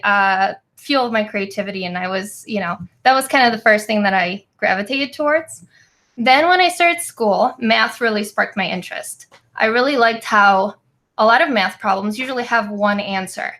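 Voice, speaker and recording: A woman's voice: fairly high pitch, fairly bright tone. A woman in her twenties from Poland, telling her own story as a guest engineer over a video call from home, earnest, with many fillers. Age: 20s. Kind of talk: webinar talk, personal story.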